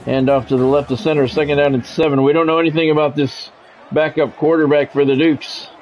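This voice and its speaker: A man's voice: fairly high pitch, little pitch movement, brisk, a little mellow, some chatter behind. An American man doing play-by-play commentary on a football game in short, clipped announcer phrases. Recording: dull lo-fi sound, music behind.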